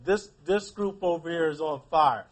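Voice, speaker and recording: A man's voice: on the high side, normal speed. A man teaching a class, reading aloud and prompting pupils with questions. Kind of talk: interactive classroom read-aloud and discussion.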